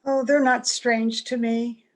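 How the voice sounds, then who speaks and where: A woman's voice: fairly high pitch, level tone, takes it slowly. A woman playing a strange, weary, evasive young woman in an old-time radio drama. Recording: home video-call audio, music behind.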